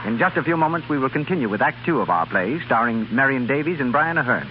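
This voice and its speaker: A man's voice: mid-range voice, animated, fast talker. A man announcing a 1930s radio show, reading scripted narration in a polished, presentational way. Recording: dull, hissy 1930s radio recording.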